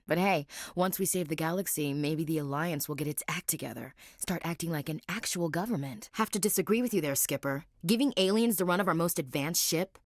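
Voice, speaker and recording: A woman's voice: low voice, dry recording, clean studio sound. An actress voicing a proud, guarded young woman marine in a video game, blunt and wry with a clipped military way of talking. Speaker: game character dialogue. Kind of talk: studio game voice acting.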